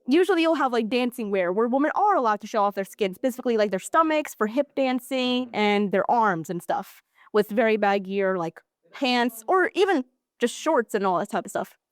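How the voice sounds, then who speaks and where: A teenage girl hosting a student podcast, chatting casually with lots of filler words and lively opinions. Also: on the high side, moderate pace, dry recording. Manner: chatty, many filler likes. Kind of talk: casual chat.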